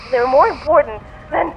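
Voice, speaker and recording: An injured, determined starship commander , played by a woman in an audio drama. She coughs and struggles for breath, speaking slowly in a high voice over faint noise. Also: full character voice. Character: strained, injured female starship commander, conscience-driven.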